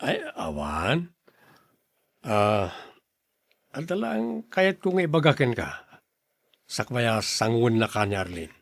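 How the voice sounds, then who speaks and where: A man's voice: mid-range voice, wildly swooping pitch, slow pace, gravelly, pauses often, dry recording, clean studio sound, full character voice. A man with an Ilocano accent acting a stern, blustery older brother who turns smitten suitor in a radio drama. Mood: bargaining. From Philippines.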